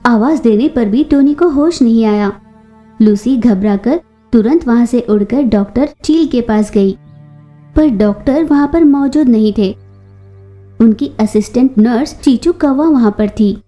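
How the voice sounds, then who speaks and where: Female storyteller, scripted children's tale narration, soft mellow tone, fairly high pitch, music behind. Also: measured pace.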